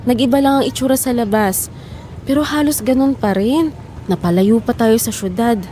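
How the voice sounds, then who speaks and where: A woman's voice: on the high side, normal speed, mellow, slight background noise, natural voice. A woman playing an anxious, doubting wife in an audio drama, questioning and plaintive. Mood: doubtful, upset.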